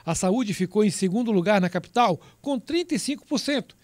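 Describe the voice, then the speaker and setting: A male TV news reporter reading a survey report in a measured voice-over, with a Brazilian Portuguese accent. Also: high-pitched, expressive, darkish tone, dry recording. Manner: measured, reads out figures crisply.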